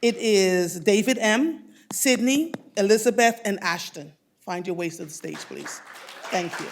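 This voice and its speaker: A woman in her fifties with a boomy voice, emceeing a conference and effusively addressing the audience from the stage. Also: animated, measured pace, a little hiss or hum. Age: 50s. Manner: effusive, calls people darling. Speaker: mistress of ceremonies.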